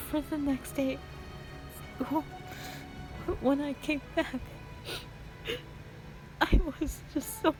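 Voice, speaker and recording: A woman playing a traumatised, anguished young woman in an audio drama monologue, speaking haltingly and often hushed. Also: high voice, rough recording. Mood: anguished, distraught. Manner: halting, hushed, breaking into whispers. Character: traumatised young woman confessing a harrowing past, anguished, broken. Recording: music behind.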